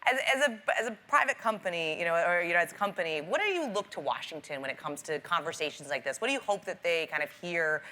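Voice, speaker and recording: A woman moderates a business panel, asking questions in a conversational way and softening them with "kind of". Her voice sits lower than most women's but sounds bright and forward. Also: expressive, fast, hardly any pauses.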